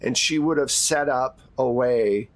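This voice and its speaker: A man's voice: mid-range voice, measured pace. A man speaking casually out of character as the game master of a tabletop roleplay podcast.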